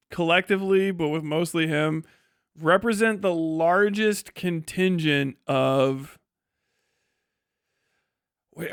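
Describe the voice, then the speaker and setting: Guy streamer reacting live, kinda high voice, sweary and sarcastic, super dry close sound. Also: smooth, no room sound. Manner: profane, blunt, sarcastic. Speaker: livestream host reacting to a debate video. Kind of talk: casual opinionated live commentary.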